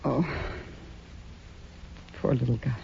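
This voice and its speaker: A woman's voice: a bass voice, takes it slowly, creaky, warm tone, full character voice. A woman playing a frank, worried Old West saloon woman in a 1950s radio western, with an American accent. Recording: dull, noisy old radio broadcast.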